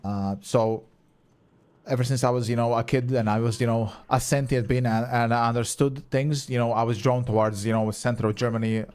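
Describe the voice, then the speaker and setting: A young man streaming a game, with Croatian as his first language. He chats loosely with his viewers, answers their questions as he plays, and swears casually. His voice sits at an ordinary middle pitch for a man. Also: flat delivery, moderate pace, darkish tone, smooth. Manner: chatty, reads and answers chat, swears casually. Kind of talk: casual live-stream chat while gaming.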